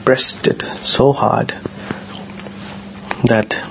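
A man with a bassy low voice, telling a personal story in a casual, earnest way, often halting and repeating words. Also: steady tone, gravelly, a bit bright. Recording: dull lo-fi sound with faint noise.

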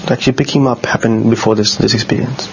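A man with a bassy low voice, telling a personal story in a casual, earnest way, often halting and repeating words. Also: fairly even intonation, vocal fry. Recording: dull lo-fi sound with faint noise.

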